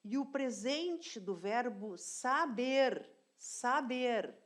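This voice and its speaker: A woman teacher explaining grammar in an online lesson, deliberate and repeating key phrases, often checking in with her students. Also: on the high side, expressive. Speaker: language teacher.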